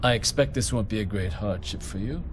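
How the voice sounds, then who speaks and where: An actor playing a dry, haughty debt clerk for a trading company in a video game, formal and sardonic. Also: male voice, fairly low pitch, fairly quick, slight background noise, natural voice. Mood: dry, smug. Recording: game music over the voice.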